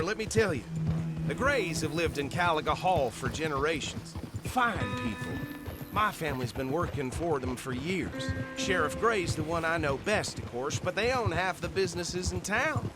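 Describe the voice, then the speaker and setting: A man's voice: sits high, normal speed, natural voice. A man playing a chatty, bossy small-town deputy in a video game, with an accent of the American South and a folksy, polite manner. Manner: talkative, folksy, politely formal. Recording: game music behind the voice.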